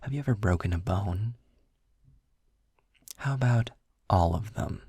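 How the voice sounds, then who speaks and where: A man playing a sarcastic, guarded demon guide in an intimate audio roleplay, speaking in dry, measured phrases with a breathy, gravelly edge, close on the microphone. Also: dark tone, no echo, exaggerated voice. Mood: dry, bitter. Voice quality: husky, breathy, gravelly low voice. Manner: dry, measured, halting with pauses. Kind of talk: intimate scripted audio roleplay, slow and deliberate. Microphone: close studio-style microphone, dry.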